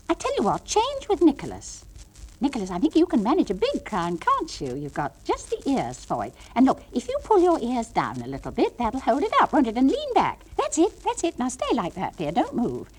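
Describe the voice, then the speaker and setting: Swooping, patronising English nursery teacher. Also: female voice, sits high, husky, exaggerated voice, low-quality recording. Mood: coaxing. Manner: sing-song coaxing with gentle firm scolding. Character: patient, brightly patronising female nursery school teacher of small children. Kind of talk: comic monologue, one side of classroom talk.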